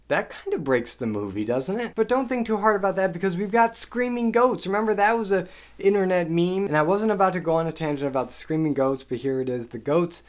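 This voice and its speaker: A man with a fairly high speaking voice, running a casual film review monologue. He keeps throwing up rhetorical questions and sounds a bit exasperated. He stays conversational and unscripted throughout. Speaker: movie reviewer.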